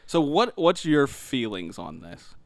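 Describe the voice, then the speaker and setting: A man's voice: mid-range voice, very animated, slow pace, gravelly, no room sound. A man co-hosting a podcast in a casual, conversational chat style. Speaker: podcast co-host.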